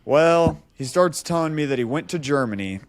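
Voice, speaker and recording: A man's voice: on the high side, expressive, normal speed, dry recording. A man co-hosting a podcast, telling a story casually and animatedly, acting out the dialogue as he goes. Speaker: podcast co-host.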